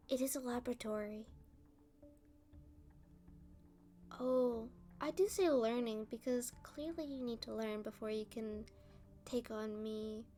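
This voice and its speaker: A woman's voice: a little high, mellow, close to an everyday voice. A woman playing a playful, smug female supervillain in an ASMR roleplay, teasing and talking down to the listener. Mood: taunting, gleeful. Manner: teasing, condescending, drawn-out delivery.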